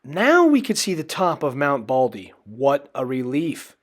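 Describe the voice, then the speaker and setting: A man reading a children's storybook aloud in an expressive, dramatic way. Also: mid-range voice, unhurried. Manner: expressive, dramatic delivery with acted character voices. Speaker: storybook reader.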